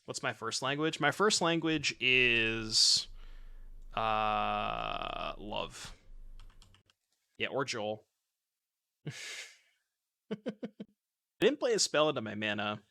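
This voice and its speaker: A man's voice: lowish voice, very animated, drawn-out words, gravelly, pauses often, pushed and bright. A man in his thirties streaming a card game from his home setup, chatting and joking with his chat while he plays, using a desk mic. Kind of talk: casual gameplay commentary reading chat. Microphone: desk mic with webcam.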